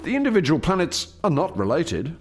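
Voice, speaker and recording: A man's voice: fairly high pitch, huge pitch swings, a little fast, soft background music. An actor playing an eager, proud alien scientist who formally explains his experiment in a radio play. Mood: explanatory. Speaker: lead scientist presenting a project. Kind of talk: radio play dialogue, expository.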